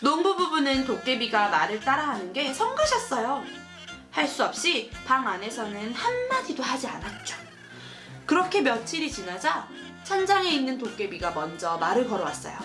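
A woman hosting a children's show, reading a storybook and asking questions in an animated, sing-song, teacherly way. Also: high-pitched, moderate pace, music in the background. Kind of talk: storybook narration and chat with a child.

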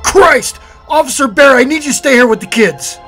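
A woman's voice: sits high, normal speed, a bit bright, faint music behind. A woman playing a police officer in charge in a horror comic dub. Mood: alarmed.

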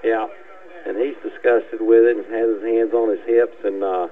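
A man's voice: on the low side, level tone, moderate pace, phone-quality sound. A man giving folksy, colorful, conversational football commentary as a radio analyst on a headset. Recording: band-limited radio broadcast sound.

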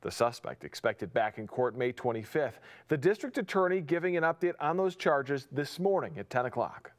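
A man in his forties anchoring a morning news show in a TV studio, reading stories briskly with chatty asides, on a lapel mic. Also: mid-range voice, animated. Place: TV studio with city-skyline backdrop.

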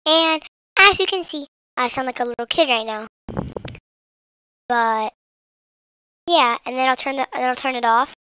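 Little kid voice done by a woman with a voice changer, high and sharp, noisy processed audio. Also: moderate pace, pauses often. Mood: casual demonstrating. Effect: pitch-shifting voice changer. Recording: noisy processed microphone sound.

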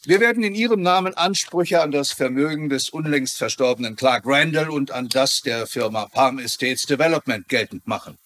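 An actor plays a lawyer in a television crime drama. His pitch is average for a man, recorded without echo. He is official and formal, with a wry touch. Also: normal speed, hardly any pauses, fairly bright tone, natural voice. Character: formal, measured male lawyer addressing creditors.

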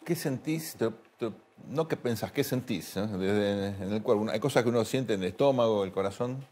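A man's voice: lowish voice, normal speed, a little mellow. A middle-aged man with an Argentine accent, hosting a talk show in a TV studio and asking conversational, probing questions. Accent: Rioplatense Spanish. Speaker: host.

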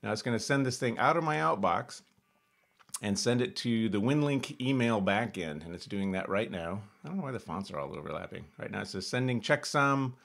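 Low-pitched American man, casual demonstrator. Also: expressive, fairly quick, gravelly, a bit bright. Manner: thinks aloud while narrating each step. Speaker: host of an amateur radio tutorial. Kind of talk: casual screen-share demonstration. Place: small room at home, indoors.

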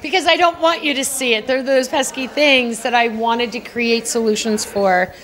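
Woman, middle-aged, fairly high voice, earnest, chatty, red carpet interview, handheld mic. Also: fairly even intonation, normal speed, a bit bright. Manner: earnest, chatty, laughs often. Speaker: award honoree, beauty entrepreneur. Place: indoor gala press wall. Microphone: handheld mic held by interviewer.

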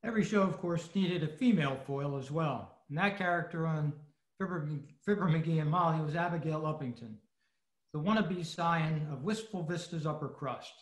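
A man's voice: on the high side, moderate pace. A man giving a prepared lecture as presenter on a video call. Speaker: presenter at an old-time radio club meeting. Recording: roomy, echoey video-call sound.

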